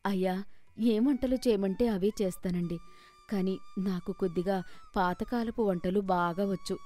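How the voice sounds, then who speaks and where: A woman voicing a humble, earnest old village cook in an animated moral story, speaking deferentially. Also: mid-range voice, normal speed, no echo, soft background music, close to an everyday voice.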